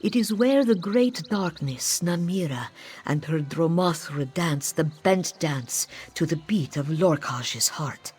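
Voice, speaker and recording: A woman's voice: deep voice, a little mellow, husky, exaggerated voice. A woman voicing a solemn cat-folk priestess in a fantasy game, speaking formally of herself in the third person. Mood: solemn, explaining. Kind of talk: scripted fantasy game dialogue. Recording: background music under the voice.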